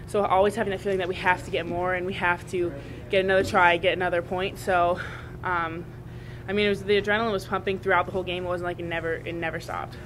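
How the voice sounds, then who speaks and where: Brief: young woman rugby player, postgame presser, earnest, monotone, humming background. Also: quick pace. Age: young adult. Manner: earnest, repeats and restarts phrases. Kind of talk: postgame press conference answers. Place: press room at a draped table. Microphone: table mic on a small stand. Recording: steady background rumble.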